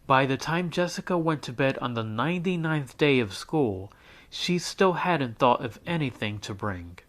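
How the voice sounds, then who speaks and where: A man reading a children's picture book aloud as a teacher, in an expressive storytelling style. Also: medium pitch, normal speed.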